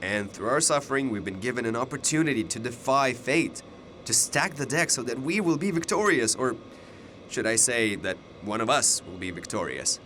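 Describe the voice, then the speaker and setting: An actor in a radio drama playing a mysterious older alternate self, fatalistic and persuasive, speaking in a deliberate, ominous way. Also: male voice, medium pitch, lively intonation, a bit bright, a little hiss or hum, natural voice. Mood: bitter, intense.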